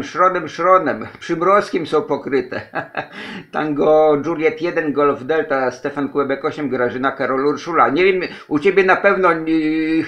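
A man's voice: on the high side, fairly bright tone. A man from Poland chatting casually as an amateur radio operator, repeating his phrases and spelling out call signs. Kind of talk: casual ham radio contact.

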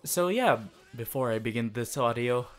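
A man making a casual channel announcement in an informal, halting way, as an audio creator. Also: mid-range voice, lively intonation, soft background music.

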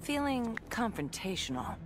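A woman's voice: fairly high pitch, very animated, moderate pace. A woman playing a tough, combative superhero fighter in a video game, defiant and punchy. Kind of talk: video game dramatic acting. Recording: loud music behind.

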